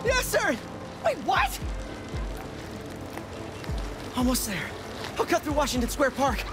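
An actor with an American accent playing a timid, earnest, nerdy young photographer in a video game, hesitant and apologetic. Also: male voice, very high voice, huge pitch swings, normal speed, over music, full character voice. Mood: flustered, hurried. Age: young adult.

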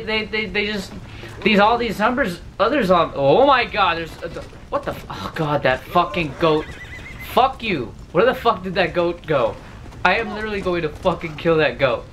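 Twenties gamer in his bedroom, bright and wildly swinging, cussing and yelling at the screen, hoarse. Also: sits high, animated, normal speed, a little hiss or hum. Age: 20s. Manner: loud, profane, yelling at the game. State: losing his voice. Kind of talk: let's play commentary. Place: bedroom, indoors.

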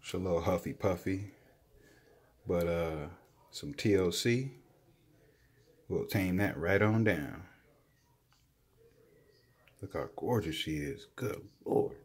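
A man filming a casual home vlog, excitedly showing a new pet and saying he is sweating while he handles it. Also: low voice, breathy edge. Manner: excited, admiring, unhurried. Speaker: reptile keeper vlogger. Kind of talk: casual handheld show-and-tell vlog. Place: indoors at home, by a table. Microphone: handheld phone camera, off-screen speaker.

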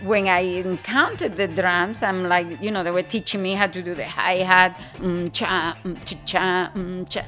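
A woman's voice: fairly low pitch, normal speed, hardly any pauses, music in the background. Older Spanish-accented woman telling stories. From Spain. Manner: animated, imitates drum beats with her mouth. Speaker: guest musician. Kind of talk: casual interview storytelling.

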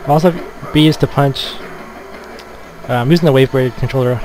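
A man giving casual gameplay commentary as a Let's Play gamer, in a hesitant, low-key manner. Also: normal speed, rough recording. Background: game music.

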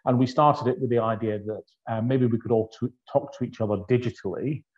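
A Scottish man in his fifties from the northeast of Scotland, chatting as a guest over a video call with an earphone mic and telling his story in a relaxed, anecdotal way. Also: mid-range voice, brisk. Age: 50s. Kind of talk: conversational interview. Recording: dull lo-fi video call audio.

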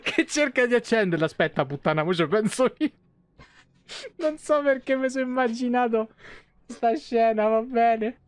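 A man's voice: very high-pitched, moderate pace, smooth, dry recording. A man in his thirties giving casual, joking, foul-mouthed live commentary on a game. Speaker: game streamer. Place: small indoor streaming room at home. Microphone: close streaming microphone.

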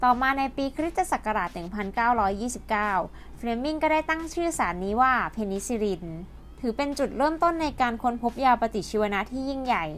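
High-pitched woman narrating an educational video. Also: lively intonation, a little fast, slight background noise. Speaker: host and narrator.

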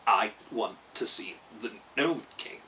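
A man voicing a fearless, blunt clockwork metal man from a storybook, with a jerky, halting delivery. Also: lowish voice, expressive, pushed and bright, a little hiss or hum, voice pushed down, exaggerated voice. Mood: polite, halting.

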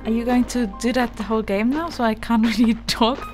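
A woman's voice: fairly high pitch, fairly even intonation, a little fast. A woman doing casual, exasperated, sweary let's play commentary and reading on-screen text aloud. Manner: sweary, exasperated banter with the game. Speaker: gaming video host. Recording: loud game music behind. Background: game music.

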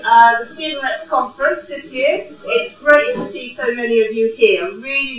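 A middle-aged woman, a college coordinator, welcomes students at a lectern and introduces staff, checking in with the audience as she goes. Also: a little high. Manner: warm, orderly, checks in with the audience. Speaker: college quality coordinator opening a conference. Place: large indoor hall. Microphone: podium microphone. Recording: echoey hall from a distance.

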